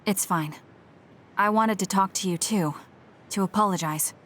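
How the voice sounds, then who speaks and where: A woman's voice: medium pitch, a little slow, fairly bright tone, slight background noise, natural voice. An actress playing a stern, reserved but caring young female soldier in a video game, with a cool, matter-of-fact delivery. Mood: earnest, contrite. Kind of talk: video game English voice acting. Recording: game music behind the voice. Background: game music.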